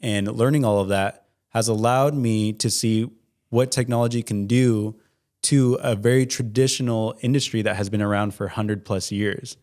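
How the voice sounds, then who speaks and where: A man in his twenties, an accountant guest in an interview, giving earnest, measured explanations. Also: fairly low pitch, fairly even intonation, a little mellow. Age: 20s. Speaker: certified public accountant, guest. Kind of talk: interview answers.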